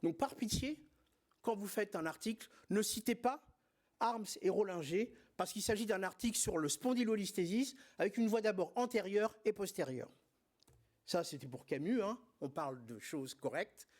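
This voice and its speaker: High-voiced man giving a surgical lecture. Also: lively intonation, normal speed, a little mellow. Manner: didactic, emphatic, frequent hesitations and filler words. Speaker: spine surgeon presenting at a congress. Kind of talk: conference lecture.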